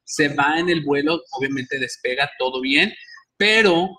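A man's voice: a little high, measured pace, bright, forceful tone, husky. A man hosting a podcast, telling stories animatedly with many restarts. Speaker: podcast host. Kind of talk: casual interview chat.

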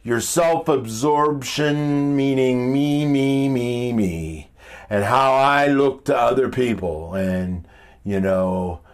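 A man with a deep, powerful voice giving an informal motivational talk in an emphatic, halting, blunt way, often repeating himself. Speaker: motivational speaker. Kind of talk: informal motivational monologue with rhetorical questions.

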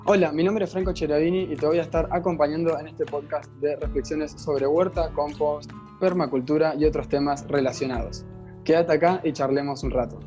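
A man's voice: a little high, steady tone, fairly quick, dark tone, faint music behind. A man with an Argentine accent, hosting a podcast and introducing an interview. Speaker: podcast host.

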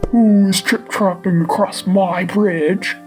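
A young female performer playing a greedy, menacing beast in an amateur audio folktale, with drawn-out demands. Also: medium pitch, steady tone, music in the background, close to an everyday voice. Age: child. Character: hungry scheming wolf and mean greedy bridge troll. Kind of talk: amateur readers theater audio drama.

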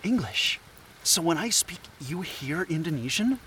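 Man acting a high-pitched, flustered anthropologist. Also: sing-song. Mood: awkward, curious. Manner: halting, stammering. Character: flustered, earnest scatterbrained male anthropologist. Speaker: voice actor. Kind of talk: audio drama dialogue. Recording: clearly noisy, rain-like ambience behind.

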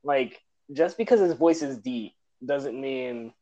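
A man's voice: medium pitch, lively intonation, slow pace, dark tone. A man co-hosting a casual podcast chat, laughing often and stumbling over repeated words as he starts sentences. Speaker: podcast co-host. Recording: dull lo-fi audio.

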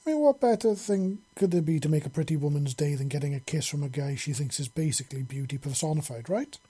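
A man's voice: fairly high pitch, a little slow, dark tone, husky. A man voicing a cheeky, sweet young daughter in an audiobook story, meddling and easily chastened. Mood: teasing sweetness. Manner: sweetly teasing.

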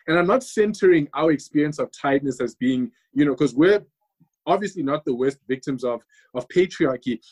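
A man speaking earnestly in a panel discussion, husky and emphatic, repeating words for stress. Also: a little high, a little mellow.

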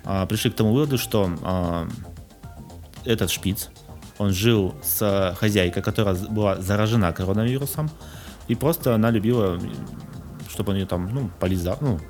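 A young man with a fairly low voice co-hosts a casual news podcast. He reads items out and jokes about them, often restarting words. Soft music plays behind him. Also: normal speed. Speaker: podcast co-host.

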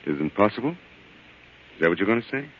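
A mild, troubled American husband in 1940s radio drama. He has a very low voice and a subdued, hesitant, polite delivery, muffled by the old broadcast recording. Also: very animated, moderate pace, a little hiss or hum. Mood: weary, hesitant.

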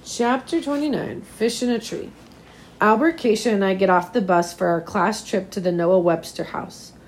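Female schoolteacher, voice a touch hoarse, mid-range, reading storybook narration to pupils. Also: mid-range voice, normal speed, slight background noise, poor audio. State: slightly hoarse, recovering from nearly losing voice. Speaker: teacher reading a novel aloud to fifth graders. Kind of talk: read-aloud storytelling of a children's novel.

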